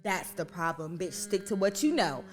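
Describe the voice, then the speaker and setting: A woman's voice: lowish voice, lively intonation, moderate pace, soft background music. A young woman co-hosting a podcast, talking in an outspoken, emphatic way and swearing freely. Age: young adult. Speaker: podcast co-host. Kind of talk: casual chat.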